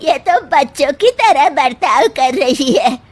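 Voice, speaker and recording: Woman acting slow, shrill, bossy mother-in-law. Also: animated. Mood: puzzled. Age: older. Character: bossy, fussy, easily alarmed older mother-in-law. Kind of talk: Hindi cartoon dubbing.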